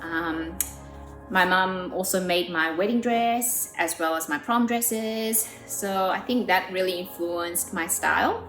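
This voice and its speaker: A woman in her thirties from South Korea, a pianist speaking earnestly in a documentary interview, pausing with frequent ums. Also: lowish voice. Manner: earnest and warm, with frequent "um" pauses. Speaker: pianist and composer. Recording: roomy sound with faint music behind.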